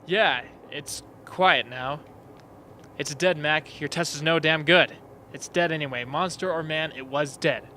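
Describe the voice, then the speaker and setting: A man's voice: on the high side, a little slow, bright, forceful tone, slight background noise. A man playing a blunt, plain-spoken member of an isolated camp in a radio drama. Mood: blunt, bleak.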